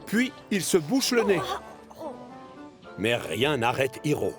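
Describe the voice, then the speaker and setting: A man narrating a children's cartoon in a lively, expressive storytelling manner. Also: on the high side, slow. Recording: narration over music.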